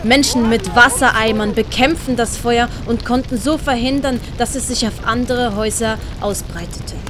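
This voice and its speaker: A woman's voice: on the high side, steady tone, moderate pace, background noise. A woman with a Swiss German accent reading scripted story narration for an audiobook.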